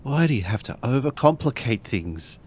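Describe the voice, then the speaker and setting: A man's voice: mid-range voice, very animated, normal speed, darkish tone, husky. A man narrating and acting out his character in a tabletop role-playing game.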